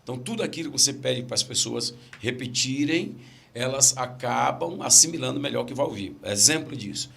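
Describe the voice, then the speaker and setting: A man's voice: medium pitch, expressive, fairly quick, creaky, fairly bright tone. A middle-aged man from Brazil, a pastor with a stammer, telling stories in casual podcast chat and repeating his key phrases.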